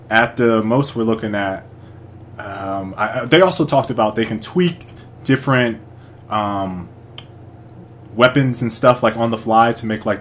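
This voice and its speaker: A man's voice: medium pitch, a little hiss or hum. A man hosting a casual online voice chat, talking hesitantly with fillers about a game. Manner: hesitant, many fillers and restarts. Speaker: stream host. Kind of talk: casual group chat over voice call.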